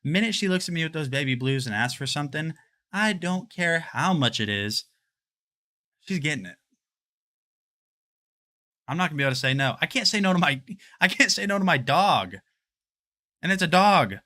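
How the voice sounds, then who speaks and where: A man's voice: on the high side, animated, normal speed, long pauses, sharp tone. A man in his twenties hosting a livestream, chatting casually and rambling while he walks through his home. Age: 20s. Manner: rambling, chatty, addresses chat. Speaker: livestream host. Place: home, walking through rooms.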